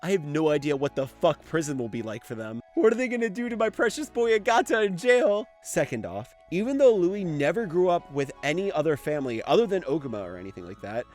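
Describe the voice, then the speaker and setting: Young guy doing fanboy video essay, highish voice, super animated, soft music behind. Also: a little fast, hardly any pauses. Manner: gushing, casual, profane. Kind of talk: enthusiastic fan commentary.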